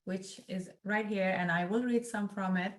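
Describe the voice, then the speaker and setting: A young woman from northeast Iran, an author introducing herself and her book as a guest, earnest and grateful, speaking in quick bursts over a video call. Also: medium pitch, steady tone. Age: young adult.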